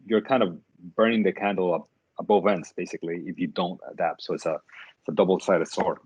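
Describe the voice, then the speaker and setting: Startup founder, thirties, very deep voice, halting and thoughtful, dead-dry lo-fi sound. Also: male voice, steady tone, darkish tone, breathy edge, no room sound. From Dominican Republic. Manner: thoughtful, many fillers and restarts. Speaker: startup CEO, guest. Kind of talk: interview answers.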